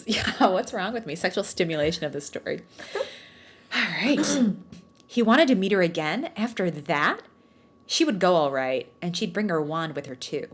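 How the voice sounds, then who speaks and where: Woman, slightly low pitch, podcast host, story narration, casual asides, jokey, self-mocking banter. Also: very animated, moderate pace, husky. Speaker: podcast host reading fan fiction aloud. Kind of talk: storybook-like read-aloud with casual joking asides.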